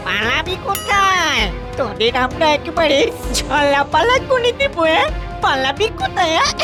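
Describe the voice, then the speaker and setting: Woman as a sly, animated young troublemaker. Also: sits high, normal speed. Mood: taunting. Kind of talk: comic cartoon dialogue. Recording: loud music bed under the voice.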